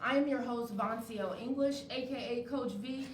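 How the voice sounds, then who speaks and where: A woman's voice: fairly high pitch, moderate pace. A woman hosting a live talk show and interviewing guests. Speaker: show host. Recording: echoey, distant mic, faint noise.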